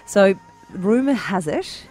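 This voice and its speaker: A woman co-hosting breakfast radio, wry and teasing, quick with a laugh. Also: mid-range voice, big pitch swings, a loud crowd. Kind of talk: casual radio banter. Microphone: studio microphone.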